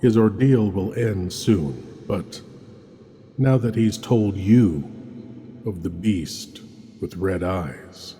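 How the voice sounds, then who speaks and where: A man performing as a sinister, theatrical horror host, delivering ominous, slow scripted narration. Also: deep voice, mellow. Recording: long reverb, music underneath.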